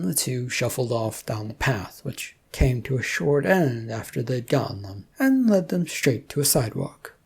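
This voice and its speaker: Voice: medium pitch, vocal fry, dark tone, breathy edge. A storyteller narrating scripted fiction.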